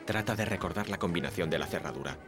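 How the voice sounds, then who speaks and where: Very deep-voiced male description narrator. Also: fast talker, music in the background. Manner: calm, even and matter-of-fact. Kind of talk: scripted narration.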